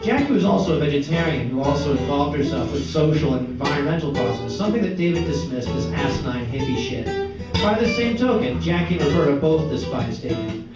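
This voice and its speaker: Man, live literary reading, higher-than-average voice, occasional stumbles, far from mic, loud guitar music. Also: lively intonation, fairly quick, very echoey. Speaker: author reading his novel aloud. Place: art gallery, live event. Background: loud plucked guitar music.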